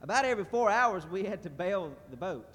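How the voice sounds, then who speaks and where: Folksy man preaching a testimony, high bright voice that swoops, long hall echo. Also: a little fast. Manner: folksy, animated, addresses crowd. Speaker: mission trip speaker. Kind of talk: church testimony. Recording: reverberant hall.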